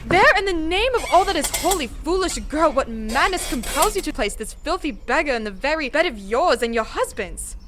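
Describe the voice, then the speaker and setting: A woman's voice: high voice, very animated, normal speed, hardly any pauses, noisy background. A woman playing an older, haughty queen mother in an audio drama, theatrical and emphatic. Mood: outraged, scolding. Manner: imperious, theatrical, emphatic repetitions. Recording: music under the voice.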